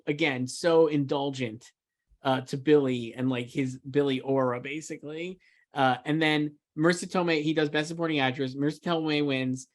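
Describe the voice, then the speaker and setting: A man co-hosting a casual film discussion, talking emphatically and loosely with lots of repeated filler words. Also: a little high. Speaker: co-host, film awards editor. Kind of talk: casual chat, film commentary.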